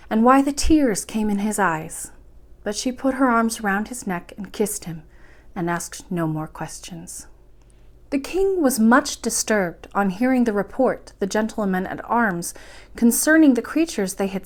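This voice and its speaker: A woman reading a children's story aloud expressively, as the host of a bedtime storytime. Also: on the high side.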